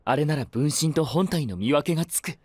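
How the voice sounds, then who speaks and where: A man's voice: on the high side, lively intonation, fairly quick, darkish tone, dry recording. An actor playing a courteous, earnest male knight in an anime-style voice drama, formal and polite in his speech. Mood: alert, composed.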